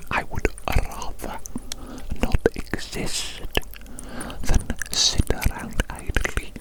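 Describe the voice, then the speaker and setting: Whispering mad scientist woman, obsessively repetitive. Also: low-quality recording. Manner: theatrical, repeats phrases over and over. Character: obsessive evil mad scientist doctor, theatrical and grandiose. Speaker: ASMR roleplay performer. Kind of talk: whispered ASMR roleplay.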